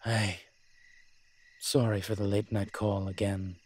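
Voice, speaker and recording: A man playing a proud, flirtatious incubus demon professor in an intimate audio roleplay, husky and teasing, with hesitant, drawn-out pauses. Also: on the low side, little pitch movement, darkish tone. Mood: hesitant, uneasy.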